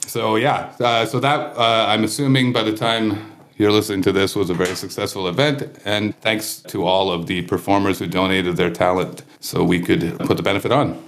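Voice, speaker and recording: A man's voice: fairly low pitch, little pitch movement, brisk. A man hosting a podcast, chatting casually and teasing his guest with friendly banter. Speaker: podcast host.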